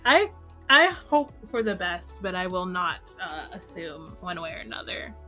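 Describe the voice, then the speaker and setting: A woman's voice: on the low side, sing-song, unhurried, sharp tone, faint music behind. A woman hosting a casual fan podcast, speaking informally with lots of filler words and a bubbly manner. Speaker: podcast host.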